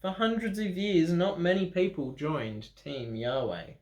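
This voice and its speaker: A man reading a children's Bible story aloud in an animated way, with dramatic pauses, expressive emphasis and playful asides. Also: fairly high pitch. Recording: roomy, echoing room sound.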